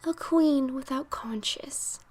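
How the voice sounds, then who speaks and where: A woman voicing a cryptic, ominous witch of the heath, speaking in slow solemn chants. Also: high voice, darkish tone, big-room sound. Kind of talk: fan audio drama acting.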